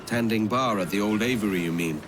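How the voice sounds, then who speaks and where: An actor playing a frank, roguish pirate captain in a game cutscene. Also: male voice, on the low side, brisk, background noise, lightly acted. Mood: probing. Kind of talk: dramatic game cutscene acting.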